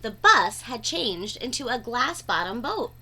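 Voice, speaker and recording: Animated, high-voiced woman reading a storybook. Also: husky. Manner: animated, dramatic emphasis with drawn-out words. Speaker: read-aloud narrator. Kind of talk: expressive children's storybook read-aloud.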